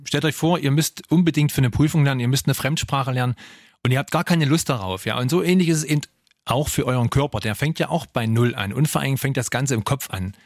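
A man's voice: medium pitch, quick pace, no room sound, studio quality. Male coach, forties, informal explainer with tag questions, mid-range voice, very little room sound. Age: 40s. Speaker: fitness trainer, co-host. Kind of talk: casual explanatory coaching talk.

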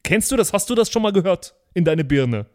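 A man playing an eager young street dude, talking excitably in broken-grammar slang in an improvised comedy sketch. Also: sits high, fairly quick. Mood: eager, slangy.

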